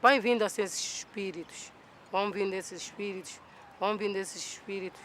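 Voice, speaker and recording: Man, high voice, plain repetitive speaker, faint outdoor noise. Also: a little fast, fairly bright tone. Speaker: community member describing a ritual. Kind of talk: documentary interview.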